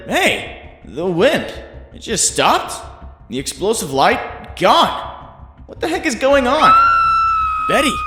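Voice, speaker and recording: Male actor as a young quippy superhero, high-pitched, lively swings, wry and earnest. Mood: alarmed, puzzled. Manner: wry, animated, talks to himself.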